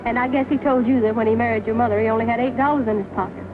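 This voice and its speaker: An actress playing an earnest young small-town sweetheart in a 1940s film drama. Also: female voice, a little high, fairly even intonation, racing, natural voice. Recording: tinny 1940 film soundtrack with music.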